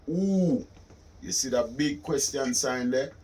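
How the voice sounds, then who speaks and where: A man's voice: medium pitch, slow, a little mellow. A man with a Caribbean accent giving an impromptu interview, explaining his views in an insistent, preaching way and repeating phrases for emphasis. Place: studio, during a phone call. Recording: noisy ambient room recording.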